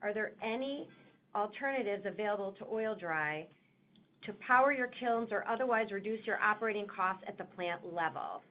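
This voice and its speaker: A woman's voice: mid-range voice, lively intonation, normal speed. A woman moderator on an earnings call, reading investor questions aloud. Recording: muffled phone line, faint noise.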